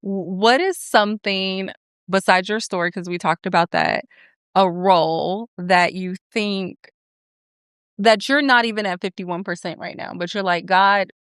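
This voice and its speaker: A woman's voice: mid-range voice, unhurried, a bit bright, clear, resonant tone, no room sound, clean studio sound. A young woman co-hosting a faith podcast, explaining her views earnestly and asking her co-host questions. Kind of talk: faith conversation.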